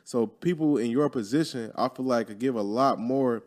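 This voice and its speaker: A man's voice: mid-range voice, normal speed, darkish tone. A man in his twenties hosting a podcast interview, talking loosely and casually with plenty of slang. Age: 20s. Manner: loose, easygoing slang-filled talk. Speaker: podcast host.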